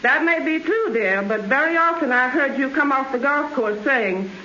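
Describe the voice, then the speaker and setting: Playful teasing lady, very high bright voice, echoey muffled old radio line. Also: animated, moderate pace. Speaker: guest's wife. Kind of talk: scripted remote radio chat. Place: home trophy room. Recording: echoey, dull remote radio line, hiss.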